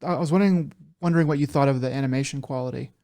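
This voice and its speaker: A man co-hosting a casual talk show, giving conversational commentary in a halting way with stammered, repeated words. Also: medium pitch, warm tone. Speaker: podcast co-host.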